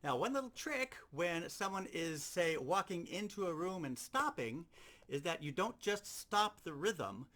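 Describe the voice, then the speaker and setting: A man with a fairly high voice that swings widely in pitch, giving a hands-on lesson. He explains each step methodically and circles back to key points. Clear background noise runs through the recording. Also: big pitch swings, unhurried, bright, forceful tone. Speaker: sound effects instructor. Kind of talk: instructional demonstration.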